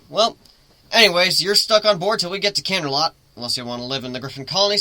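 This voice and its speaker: Man playing a sharp, animated griffin captain. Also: medium pitch, no room sound. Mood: firm, caring. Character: brash male griffin ship captain, teasing yet caring. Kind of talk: dramatic reading of a fan fiction story.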